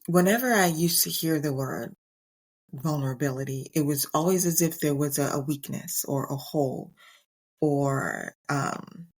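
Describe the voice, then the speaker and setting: A middle-aged woman hosting a motivational podcast on her own, speaking earnestly and emphatically in short, deliberate phrases. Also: sits low. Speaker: podcast host. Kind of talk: motivational solo podcast talk.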